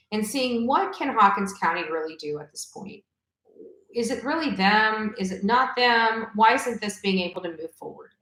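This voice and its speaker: A woman's voice: mid-range voice, fairly bright tone. A woman hosting a casual livestream commentary, speaking emphatically and repeating phrases for emphasis. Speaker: livestream host. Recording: echoey room.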